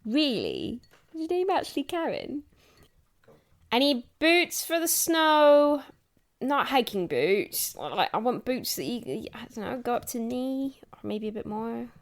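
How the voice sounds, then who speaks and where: A fairly high female voice with very slow, deliberate phrasing. It is a woman acting a teasing character in a dark horror audio drama. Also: sing-song. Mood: playful, sultry.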